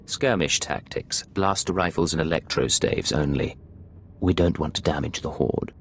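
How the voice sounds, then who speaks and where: A cold Imperial agent for a video game. His voice sits very low with a gravelly rasp. He speaks in clipped, businesslike terms over faint background noise. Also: normal speed. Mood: curt, commanding.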